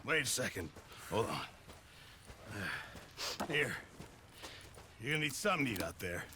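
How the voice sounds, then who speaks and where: A man's voice: on the low side, sing-song, slow, noisy background. A man playing a fretful, complaining outlaw camp cook in a video game, grumbling theatrically. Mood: agitated. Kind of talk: video game cutscene acting.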